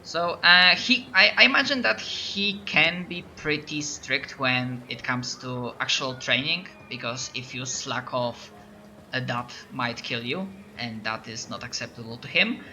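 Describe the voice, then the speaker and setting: A man's voice: mid-range voice, measured pace, sharp tone, a little hiss or hum. A man from Poland with a Polish accent, narrating as game master and often stopping to restart his sentences. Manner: hesitant, lots of restarts.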